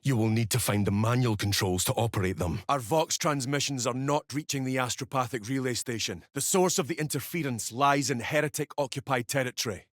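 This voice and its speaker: An actor playing a stern, commanding military captain in a science fiction video game, speaking in a clipped, formal, authoritative way. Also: male voice, medium pitch, no room sound, studio quality, natural voice. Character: stern, commanding male military captain of armored space soldiers. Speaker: mission briefing officer. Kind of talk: scripted video game voice acting.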